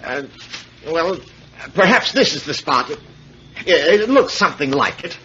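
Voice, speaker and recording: An actor playing a controlling, evasive blackmailer in a theatrical 1940s radio mystery style. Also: male voice, on the high side, big pitch swings, a little slow, fairly bright tone. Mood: rattled, defensive. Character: scheming, domineering male blackmailer, smooth but evasive. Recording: dull, hissy old radio recording.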